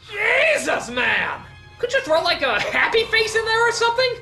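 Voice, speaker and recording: A man's voice: very high voice, normal speed, vocal fry, soft background music. A man narrating a scripted comedic review in an emphatic, exclamatory way. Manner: animated, emphatic, exclamatory, profane. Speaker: video reviewer and countdown host.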